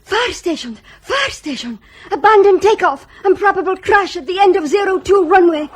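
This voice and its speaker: Airport crash alarm controller, very high voice, clipped and urgent, faint hiss, radio drama. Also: female voice, expressive, normal speed.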